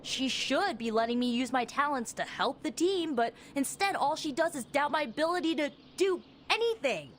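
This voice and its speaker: A confident, defiant young witch from a video game. Her highish voice moves animatedly, with impassioned, colloquial delivery and a sarcastic edge. Slight noise sits behind it. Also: expressive, normal speed, few pauses. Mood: frustrated, hurt. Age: young adult. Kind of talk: dramatic video game acting.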